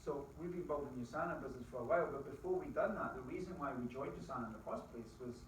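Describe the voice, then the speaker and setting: A middle-aged Scottish man giving a lively motivational talk to a live audience, quizzing the crowd with folksy asides. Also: on the high side, racing, a little hiss or hum. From Scotland. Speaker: business opportunity presenter. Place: presentation room with an audience. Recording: very echoey room, distant mic.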